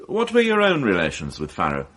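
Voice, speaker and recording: An actor playing a polite, probing police inspector in a radio drama, with formal, measured questioning. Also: male voice, medium pitch, wildly swooping pitch, fairly bright tone.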